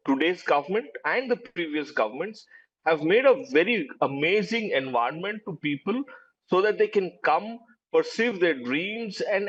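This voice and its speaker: A man's voice: sits high, slightly rough, lo-fi sound. A man whose first language is Urdu, arguing emphatically as a panelist in a heated TV debate and repeating words as he presses his point.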